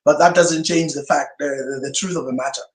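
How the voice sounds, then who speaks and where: A man's voice: a little high, steady tone, a little fast. A male pastor whose first language is Swahili, giving an emphatic sermon-style teaching talk full of rhetorical questions. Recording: roomy echo.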